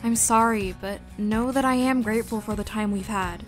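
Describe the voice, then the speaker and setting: A woman's voice: fairly high pitch, normal speed, faint music behind, close to an everyday voice. A woman as a tender young witch. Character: young female witch protector, tender and dutiful. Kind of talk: amateur roleplay voice acting.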